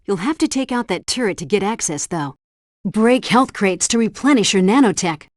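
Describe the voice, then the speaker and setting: A woman's voice: medium pitch, animated, normal speed, no room sound, studio quality, lightly acted. A woman playing a composed, helpful spy agency helpdesk operator in a video game, giving scripted instructions in a precise briefing style. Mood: composed, instructive. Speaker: mission helpdesk guide. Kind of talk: scripted game tutorial narration.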